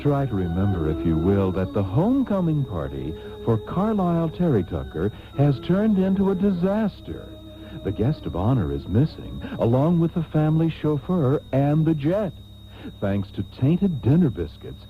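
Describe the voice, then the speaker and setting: An actor playing a grandiose, unctuous radio soap host, narrating in a theatrical, drawn-out, mock-melodramatic way. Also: male voice, medium pitch, huge pitch swings. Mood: mock-solemn. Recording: tinny old radio tape, music behind.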